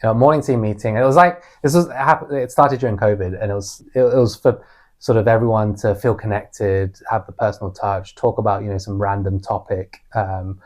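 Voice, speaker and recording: A man's voice: fairly low pitch, fairly even intonation, a little fast, darkish tone. A man with a British accent co-hosting a talk podcast, sharing personal experiences conversationally and reflectively, often voicing remarks as quotes. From United Kingdom. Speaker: podcast co-host.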